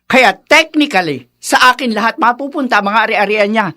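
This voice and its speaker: A woman's voice: fairly high pitch, moderate pace, pushed and bright. A woman playing a greedy, haughty middle-aged heiress in a Filipino radio drama, imperious and confrontational, with a Filipino accent. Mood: haughty, demanding.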